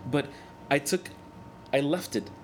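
A man in his thirties whose first language is Spanish, speaking as a guest in a candid interview, earnest and reflective, often halting and restarting. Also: on the high side, a little hiss or hum. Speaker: guest, cartoonist and organizer.

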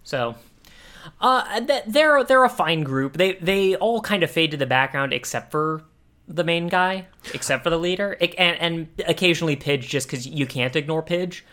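Casual, halting male podcast co-host. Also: a little high, animated, pushed and bright. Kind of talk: casual chat, pop-culture commentary.

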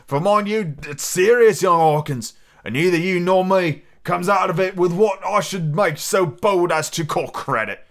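A man's voice: high voice, normal speed, voice pushed up. A man voicing a hearty, cunning old one-legged sea cook in salty sailor dialect, full of theatrical exclamations. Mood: hearty, earnest.

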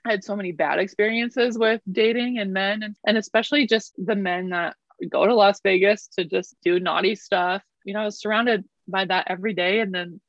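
A woman's voice: medium pitch, fairly even intonation, brisk, hardly any pauses, dry recording. This is a woman from Canada in her thirties, talking loosely and laughing at herself as a podcast guest. Her voice sits mid-range, and the recording sounds muffled and dry, with no room around it. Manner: chatty, self-deprecating, laughs often. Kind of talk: casual interview chat.

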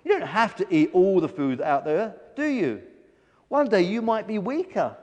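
A man voicing a reasonable, earnest gull in a children's story, gently scolding a friend. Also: high voice, very animated, normal speed, in a large hall, lo-fi sound. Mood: earnest persuasion.

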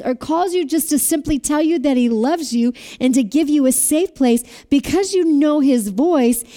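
A woman preaching a sermon with a loud voice, asking listeners to repeat phrases after her. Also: sits high. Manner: asks the audience to repeat phrases.

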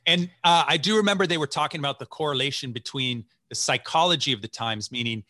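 Bright-voiced enthusiastic podcast host, forties. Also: male voice, on the high side, fast talker, dry recording, clean studio sound. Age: 40s. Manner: chatty, enthusiastic interviewer. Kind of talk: casual interview chat. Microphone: video call.

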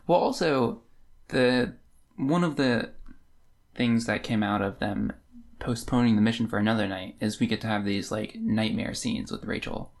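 A woman's voice: very deep voice, normal speed, a little mellow. A woman co-hosting a podcast, discussing books in a casual, conversational way. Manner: informal, conversational. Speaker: podcast co-host. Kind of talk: casual book discussion chat.

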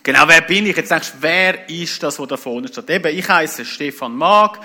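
A man with a Swiss German accent gives an informal church talk as a guest preacher, colloquial and animated, mixing in English words. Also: fairly high pitch, normal speed.

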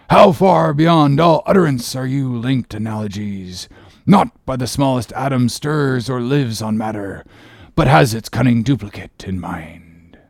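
A man's voice: medium pitch, creaky, a little mellow, no echo, clean studio sound, heavily acted. A man voicing a brooding, grandiloquent whaling ship captain in a solemn, intense, declamatory way for an audiobook. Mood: rapt musing. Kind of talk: dramatic audiobook character reading.

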